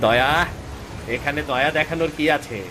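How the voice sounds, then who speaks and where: A man's voice: medium pitch, normal speed, noisy background, lightly acted. A man playing a greedy, scheming, bullying moneylender in a cartoon, with a mocking, gloating manner. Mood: mocking, threatening. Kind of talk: Bengali cartoon voice acting. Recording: music behind the voice.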